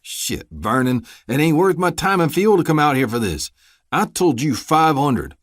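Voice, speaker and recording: A man's voice: fairly high pitch, big pitch swings, normal speed, no echo, studio quality, voice pushed up. A man voicing a brutal, bullying corrupt deputy who threatens and barks orders. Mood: menacing. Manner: menacing, threatening.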